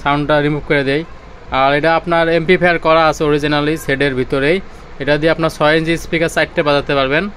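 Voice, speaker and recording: A man with a Bangladeshi accent giving an informal sales demonstration, often halting and repeating his phrases. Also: fairly high pitch, little pitch movement, fairly bright tone, soft background music. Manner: repetitive, halting, with restarts. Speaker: seller demonstrating used electronics.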